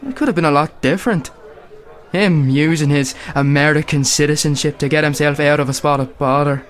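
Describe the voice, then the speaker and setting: A man's voice: on the high side, fairly quick, natural voice. A man playing an opinionated older Irishman chatting in a radio play, with an Irish accent. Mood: opinionated, wry. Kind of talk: conversational radio play acting.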